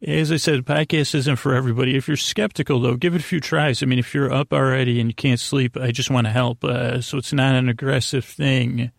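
A man hosting a bedtime podcast in a rambling, halting monologue, stammering and interrupting himself with whimsical silliness. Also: mid-range voice, steady tone, no echo, studio quality. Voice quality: gravelly, creaky. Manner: whimsical, silly wordplay. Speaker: podcast host.

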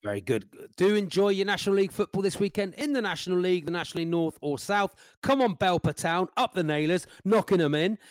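A man's voice: high voice, expressive, fast, few pauses, dry recording. A man from England co-hosting a comedy podcast, playful and sing-song, hamming up his lines. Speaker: podcast co-host. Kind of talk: playful podcast banter and sign-off.

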